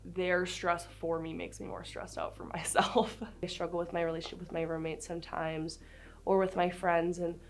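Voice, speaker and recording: A woman's voice: lowish voice, moderate pace, soft background music. A woman in her twenties, a recent graduate, speaking candidly in an interview. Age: 20s. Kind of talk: documentary interview.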